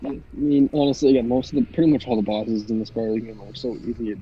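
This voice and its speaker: A man's voice: mid-range voice, level tone, fast talker, muffled recording, faint music behind. A young man with a stuffy, congested voice chatting hesitantly over voice chat on his phone, with lots of restarts. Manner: hesitant, rambling, many restarts. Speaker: friend on voice chat. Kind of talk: casual chat. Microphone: phone mic over voice chat.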